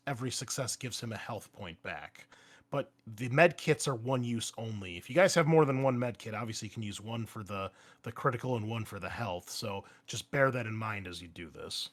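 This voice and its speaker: A man with a mid-pitched voice runs a tabletop roleplaying game. He moves casually between explaining rules and narrating scenes, and is comfortable swearing as he goes. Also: fairly bright tone. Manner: casual, profane. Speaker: game master.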